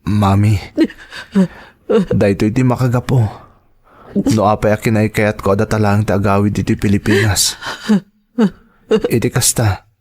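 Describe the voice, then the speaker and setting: A man's voice: lowish voice, flat delivery, a little slow, pauses often, darkish tone. A man playing a protective grown son in an audio drama, talking earnestly and reassuringly to his elders. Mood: earnest, explaining.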